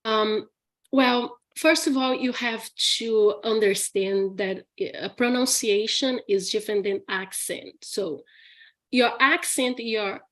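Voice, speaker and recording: A woman's voice: fairly high pitch, takes it slowly. A woman with a Brazilian accent, an English teacher answering questions in a live Q&A, chatty and laughing often, with many restarts and "okay?" checks. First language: Portuguese. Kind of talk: live Q&A session.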